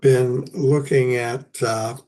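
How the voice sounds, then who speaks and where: An older man hosting a poetry lecture, his voice hoarse and raspy after an illness, talking haltingly with many pauses and fillers. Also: medium pitch, steady tone, a little mellow.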